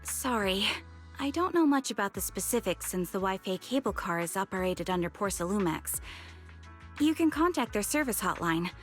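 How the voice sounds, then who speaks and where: A woman's voice: mid-range voice, very animated, moderate pace, soft background music, lightly acted. A woman voicing a courteous, apologetic service attendant in a video game, speaking in customer-service fashion. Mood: apologetic, official.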